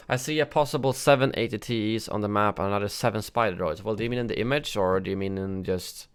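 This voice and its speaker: A man's voice: lowish voice, brisk, clean tone. A man hosting a gaming news livestream, chatting casually and answering viewers' chat with frequent fillers and self-corrections.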